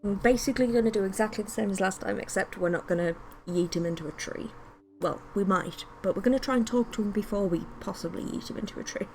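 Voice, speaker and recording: A woman's voice: mid-range voice, fast, a little hiss or hum, rough recording. A woman streaming a game, chattily commenting and theorising aloud as she plays and reads along. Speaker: streamer playing a visual novel. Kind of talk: live game commentary with read-aloud narration.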